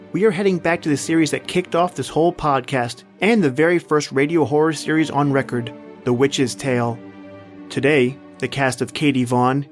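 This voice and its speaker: A man hosting a horror podcast, reading a scripted introduction in a theatrical, measured presenter's delivery. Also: medium pitch. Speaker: podcast host. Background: music.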